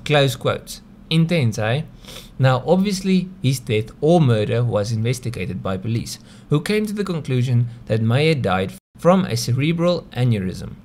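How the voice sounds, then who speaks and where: A man's voice: medium pitch, very animated, a little slow, a little mellow, slight background noise. A man with a South African accent narrating a scripted explainer video in a conversational way, often posing rhetorical questions. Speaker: video host.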